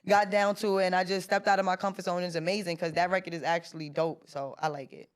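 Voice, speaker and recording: A woman's voice: fairly low pitch, steady tone, fast, clear, resonant tone. A woman in her late twenties, a rapper guest in an interview, talking casually in street slang and often checking that she is understood. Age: 20s. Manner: laid-back street slang, keeps asking "you get what I'm trying to say".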